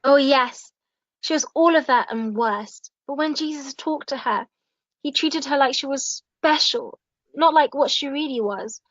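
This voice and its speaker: A shy reformed outcast played by a woman in a biblical audio drama. She speaks hesitantly and earnestly in a high voice, heartfelt throughout. The sound is dry and slightly dull. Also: unhurried, a bit bright, dry recording. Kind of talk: audio play acting.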